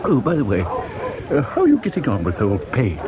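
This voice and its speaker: A man's voice: medium pitch, huge pitch swings, moderate pace. An actor playing a chatty, gossipy English provincial newspaperman in an old-time radio drama, talking in a confiding, jocular, colloquial way. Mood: wry, confiding. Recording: dull old radio recording, busy background chatter.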